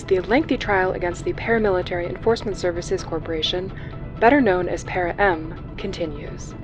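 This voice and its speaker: A woman's voice: lowish voice, natural voice. A woman playing a radio news anchor in an audio drama, reading a formal, matter-of-fact scripted report. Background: music.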